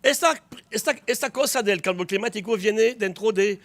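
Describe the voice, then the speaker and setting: A man's voice: high voice, moderate pace, fairly bright tone. A man, a biologist speaking Spanish as an at a conference panel, explaining with small restarts. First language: French. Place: auditorium.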